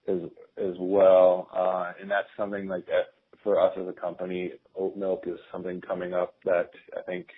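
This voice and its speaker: Low, husky man on a phone line. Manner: halting, repeats words. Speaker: industry panelist from a company. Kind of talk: unscripted business commentary. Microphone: telephone. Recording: narrowband muffled teleconference phone line.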